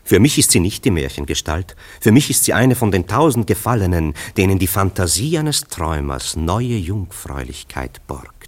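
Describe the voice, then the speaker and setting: Sceptical worldly friend, low pitch, ironic, eloquent, measured radio play acting. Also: male voice. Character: sceptical worldly male friend, gently mocking realist. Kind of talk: German radio play acting, literary dialogue.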